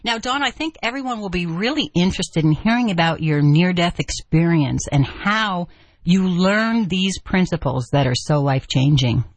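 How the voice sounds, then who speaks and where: A woman hosting an interview, asking her guest measured, unhurried questions. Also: lowish voice, expressive, no echo. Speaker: interview host.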